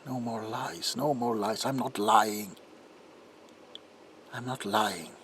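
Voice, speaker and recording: A man playing a troubled, desperate doctor in an ASMR roleplay monologue, speaking haltingly in a hushed voice that often drops toward a whisper. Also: lowish voice, steady tone. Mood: defensive, agitated. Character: troubled doctor protesting innocence, desperate and haunted. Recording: audible background noise.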